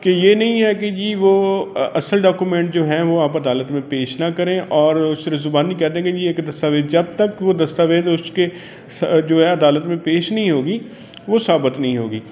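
A man with a Pakistani accent gives an informal explanatory lecture as a law teacher, halting and repeating himself and switching into English legal terms. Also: fairly high pitch. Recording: dull lo-fi audio with faint noise.

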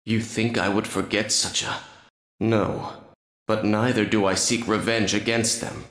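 A man voicing a brooding, noble half-vampire prince in a video game, speaking in a grave, formal, measured way. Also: on the low side, level tone, a bit bright. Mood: grim resolve. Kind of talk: dramatic video game voice acting. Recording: echoing game audio.

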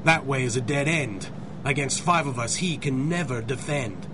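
A man's voice: mid-range voice, animated, moderate pace, noisy background, lightly acted. An actor playing a sneering, cowardly gangster boss in tough-guy style in a 1930s-style radio drama. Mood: smug.

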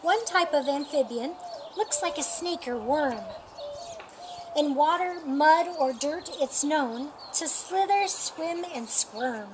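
A middle-aged woman with a high voice reads a rhyming picture book aloud for storytime. She takes her time, shaping each phrase deliberately and expressively. She is outdoors, with clear birdsong and ambient noise around her. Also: expressive. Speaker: storytime reader. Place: outdoors in a sunny yard by a painted wall.

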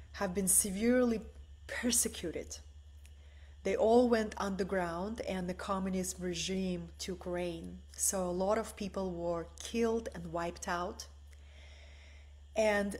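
A woman in her forties, originally from the former Soviet Union, giving a soft, meditative spiritual talk from her living room on a webcam. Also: medium pitch. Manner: slow, soft and meditative, often asking "right?". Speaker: spiritual teacher. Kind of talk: live-streamed spiritual talk. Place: bright living room at home, indoors.

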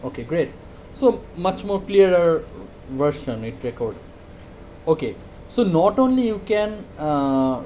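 A man demonstrating a product casually, hesitant and halting, often repeating his words. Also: a little high, mellow, lo-fi sound, slight background noise. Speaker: product reviewer.

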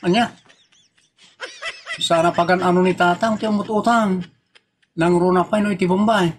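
Guy doing old ilocano village dad in a comedy, defensive and flustered, really high voice, bit noisy. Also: fairly even intonation, moderate pace, natural voice. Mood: flustered, protesting. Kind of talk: comedy drama dialogue.

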